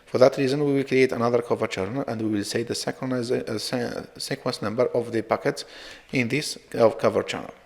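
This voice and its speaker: Man, academic presentation, hesitant and halting, creaky voice, long reverb. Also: medium pitch, steady tone. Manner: halting, hesitant delivery with frequent repeats. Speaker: researcher presenting own work. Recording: reverberant, hall-like echo.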